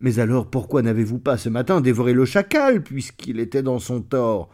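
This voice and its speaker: A man voicing a meek, nervous male wolf who speaks in a hushed, deferential way. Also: medium pitch, lively intonation, normal speed, natural voice. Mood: alarmed.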